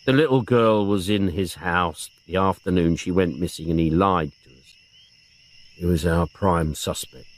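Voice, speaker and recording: A man's voice: sits low, a little slow, pitched below the performer's own voice. A man voicing a blunt, foul-mouthed middle-aged police inspector with a sarcastic streak. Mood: subdued. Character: gruff, crude, sarcastic male police inspector.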